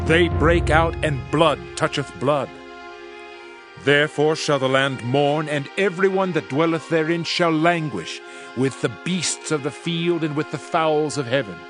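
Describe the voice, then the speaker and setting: An actor playing a grave Hebrew prophet who proclaims scripture in a solemn, deliberate, declamatory way. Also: male voice, fairly high pitch, close to an everyday voice. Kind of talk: dramatized King James scripture reading.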